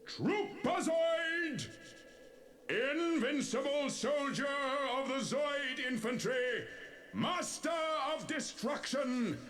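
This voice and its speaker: A woman's voice: high-pitched, animated. A woman playing a grand herald who declaims names of power in a dramatic children's audio story. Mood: grand, exultant. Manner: declamatory, drawn-out proclaiming. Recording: loud music behind the voice.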